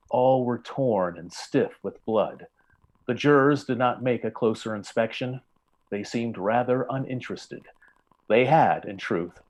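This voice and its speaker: A male narrator with a mid-range voice tells a scripted story. His delivery is measured and grave, in the manner of old time radio drama. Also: mid-range voice, darkish tone, poor audio.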